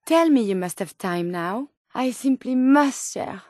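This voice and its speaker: High-voiced, earnest French robot scientist. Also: female voice, animated, unhurried, mellow, no room sound, clean studio sound. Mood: insistent, polite. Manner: formal, precise, earnest phrasing. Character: earnest, curious, polite female robot scientist turned synth. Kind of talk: video game companion dialogue.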